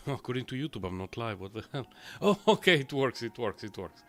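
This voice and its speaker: A man livestreaming a game, talking in a rambling, chatty way full of fillers, his voice a little low and rough from a recent cold. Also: very animated, brisk, fairly bright tone, soft background music. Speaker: game streamer. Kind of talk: casual live-stream gameplay commentary.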